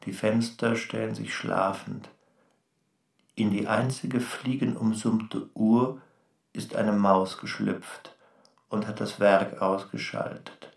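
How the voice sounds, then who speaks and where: A brooding author in a roomy, distant recording. The voice is low and gravelly, reciting literary radio prose with solemn weight. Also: male voice, fairly even intonation, slow. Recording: roomy echo, distant from microphone.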